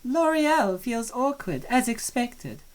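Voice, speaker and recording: A woman performs a predatory villainess therapist for a comic dub, coldly analytical and formal. Her pitch sits raised and she savors each ominous word, as if sizing up prey. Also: sing-song, takes it slowly.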